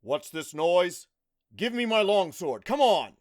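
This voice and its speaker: A man acts an old nobleman in a radio play, blustering and demanding. The voice is high and jumps around. It is recorded dry. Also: sing-song, measured pace, no room sound. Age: older.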